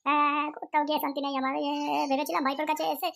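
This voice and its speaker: A woman's voice: high-pitched, little pitch movement, racing, vocal fry. A woman voicing an older aunt in a comedy cartoon who complains, scolds her nephew and wails about being wronged. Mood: wailing. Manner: wailing, reproachful. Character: old aunt, whiny and easily wronged. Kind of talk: Bengali comedy cartoon dialogue.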